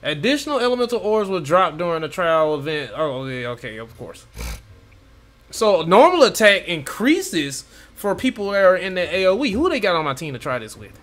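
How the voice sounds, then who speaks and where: A young man reacting to gameplay from a home room, excitable and swearing freely as he talks to his viewers. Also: sits high, moderate pace. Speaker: gaming YouTuber. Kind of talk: casual gameplay reaction commentary. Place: home room with gaming chair.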